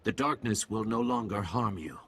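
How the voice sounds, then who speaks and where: Husky, creaky alien mystic guide. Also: male voice, on the low side, expressive, fairly bright tone, full character voice. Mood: instructing. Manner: measured, terse, ominous pronouncements. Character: grave male alien mystic guide, cryptic and calm. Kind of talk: video game cutscene acting.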